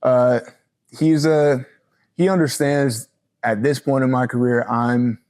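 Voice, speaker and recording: A man's voice: mid-range voice, fairly even intonation, slow pace, a little mellow. A man in his early twenties giving a casual, earnest and respectful sports interview as a college football player. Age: early 20s.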